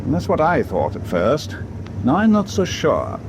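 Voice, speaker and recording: A man playing a grave, resolute British senior naval officer in a wartime radio drama, speaking with deliberate, understated formality. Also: fairly high pitch. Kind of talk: WWII radio drama acting. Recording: steady ship ambience noise behind.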